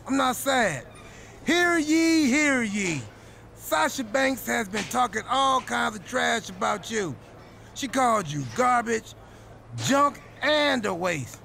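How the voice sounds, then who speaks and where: An actor playing a goofy, excitable male wrestler in a video game cutscene, talking in an animated, playful, jokey way. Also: high-pitched, vocal fry, exaggerated voice.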